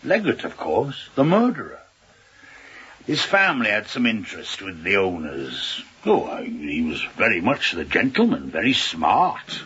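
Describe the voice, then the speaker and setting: An actor playing an older, plain-spoken and rattled sea captain in a radio drama, husky and rough, halting and repeating himself with emphasis. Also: male voice, lowish voice, wildly swooping pitch, fairly bright tone, muffled recording, heavily acted. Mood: grave, agitated.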